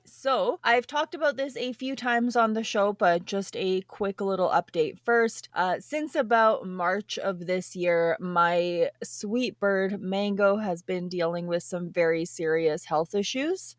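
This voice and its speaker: A woman's voice: on the low side, dry recording, studio quality. A woman co-hosting a podcast in casual chat, blunt and swearing casually. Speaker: podcast co-host.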